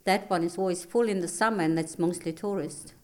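A woman's voice: lowish voice, brisk, darkish tone. A middle-aged woman from South Africa, a guest in an interview, chatting easily and laughing readily. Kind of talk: casual chat.

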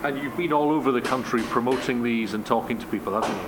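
A man hosting a radio interview, asking measured questions that often end in tag questions. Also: mid-range voice. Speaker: radio host. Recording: noticeable background noise.

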